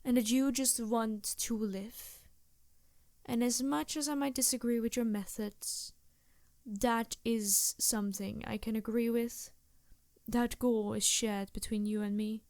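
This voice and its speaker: Woman as scheming succubus ally for intimate ASMR roleplay: soft-spoken, seductive, unhurried, very close and dry sound. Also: on the high side, steady tone, no echo. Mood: apologetic, hesitant, then resolved, solemn. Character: scheming female succubus demon, seductive, reluctant but loyal ally.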